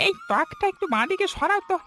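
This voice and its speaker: A man's voice: extremely high pitch, big pitch swings, fast talker, bright, forceful tone, soft background music, exaggerated voice. A man voicing a plain-spoken, loyal and stubborn village husband in a cartoon story, direct and insistent. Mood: eager, encouraging. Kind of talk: Bengali cartoon story voice acting.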